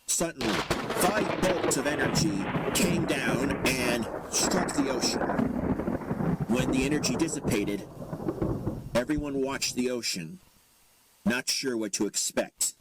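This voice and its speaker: A man's voice: mid-range voice, a little hiss or hum, low-quality recording. A man narrating a scripted superhero audio drama as its storyteller. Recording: loud music over the voice.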